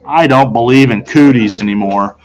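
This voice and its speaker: A man's voice: medium pitch, normal speed, a crowd in the background, rough recording. Playful man reading a children's story. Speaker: storybook reader. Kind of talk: expressive read-aloud narration of a children's novel in a boy's first-person voice.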